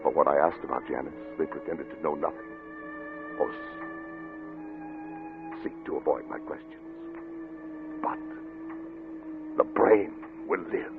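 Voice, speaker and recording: Obsessed, paranoid scientist, formal and theatrical, widely varied delivery, thin vintage radio sound with music. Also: male voice, very deep voice, expressive, a little slow, creaky, long pauses, full character voice. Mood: brooding, obsessed. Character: obsessed, paranoid male scientist, haunted and defiant. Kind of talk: 1940s radio acting. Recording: tinny old radio recording with music.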